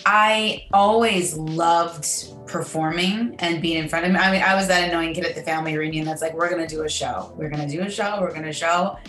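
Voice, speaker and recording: A woman from Michigan, an actress, chatting in an interview in a lively way that is quick to laugh. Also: lowish voice, roomy sound, soft background music. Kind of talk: casual interview.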